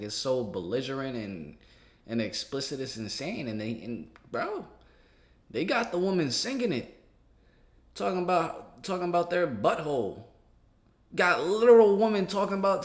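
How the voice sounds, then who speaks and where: An American man talking casually in street slang, giving reaction commentary as a channel host. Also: on the high side. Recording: echoey room.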